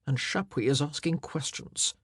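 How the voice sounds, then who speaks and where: Woman narrator as a commanding male monarch, grave, forceful, declamatory, audiobook dialogue, close dry sound. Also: fairly high pitch, normal speed, slightly rough, dry recording. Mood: grave, confiding.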